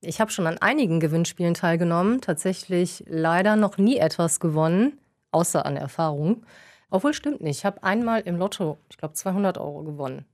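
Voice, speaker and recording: Chatty, fairly low-voiced woman, laughs often. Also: animated, fairly quick, a little mellow. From Düsseldorf, Germany. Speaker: co-host. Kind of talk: casual chat, question round.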